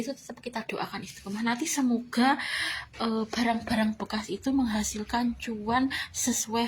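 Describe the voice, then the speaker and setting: A woman's voice: medium pitch, a little hiss or hum. A woman talking casually to her viewers, rambling and repeating herself, sometimes dropping to a whisper as she prays. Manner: rambling, repeats phrases, drops to whispers in prayers. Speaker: vlogger.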